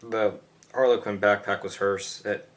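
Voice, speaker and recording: A man playing a grieving, awkward teenage boy, halting and repeating himself in a drama monologue. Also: fairly low pitch, fairly even intonation, close to an everyday voice. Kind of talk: one-act play letter monologue.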